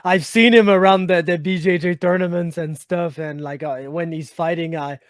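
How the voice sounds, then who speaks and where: A man's voice: a little high, steady tone, moderate pace, no echo, studio quality. A man from Quebec with a Quebec French accent, hosting a casual interview, chatty and friendly. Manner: friendly, chatty, says "my man", rambling questions. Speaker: interviewer, host.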